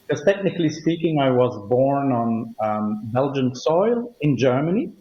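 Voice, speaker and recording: A man, a native Dutch speaker, answering interview questions in a thoughtful, hesitant way with many pauses. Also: medium pitch, very animated, reverberant, dull audio. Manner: thoughtful, hesitant with frequent ums. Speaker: guest, company legal officer.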